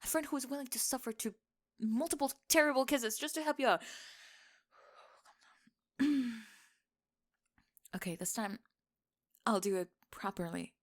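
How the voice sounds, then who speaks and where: A woman playing a bossy, secretly smitten young tomboy best friend in an intimate ASMR roleplay, teasing and defensive, with halting, stammering delivery. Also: on the high side. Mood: defensive, bashful.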